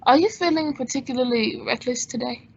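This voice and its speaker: A woman playing a cool, quick-witted male adventurer and sleuth in an old-time radio drama. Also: high-pitched, vocal fry, slightly rough, exaggerated voice. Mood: wry, cool. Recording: dull lo-fi audio.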